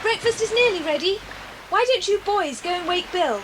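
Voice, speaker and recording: A brisk, sensible young girl in a children's adventure drama. Her voice is high and animated, with clear noise behind it on an old cassette. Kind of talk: children's audio drama acting.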